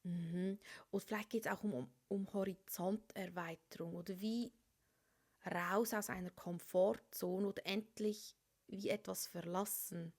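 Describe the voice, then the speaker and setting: A woman reading tarot cards, her voice soft and often sinking to a whisper as she turns each card. She is speaking through a cold, at an ordinary pitch for a woman. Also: steady tone, measured pace. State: has a cold. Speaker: tarot reader. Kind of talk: tarot reading for a star sign.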